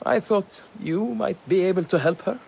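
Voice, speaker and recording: An actor playing a secretive, politely chatty informant with refined manners in a video game cutscene. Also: male voice, sits high, normal speed, creaky, darkish tone, a little hiss or hum. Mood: conspiratorial, courteous. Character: genteel, secretive, playfully excited male informant.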